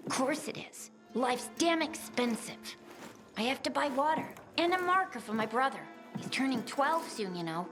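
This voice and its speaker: A woman's voice: high voice, expressive, moderate pace. A woman voicing a cheeky, streetwise girl of about ten in a video game, brash and slangy. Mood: bargaining. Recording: faint music behind the voice.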